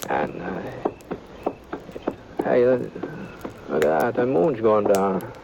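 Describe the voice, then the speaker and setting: An American actor playing an easygoing frontier gunman who also narrates, in halting, folksy radio western acting. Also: fairly low pitch, big pitch swings, vocal fry, full character voice. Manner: halting, folksy drawl with many hesitations. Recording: noisy dull old radio broadcast.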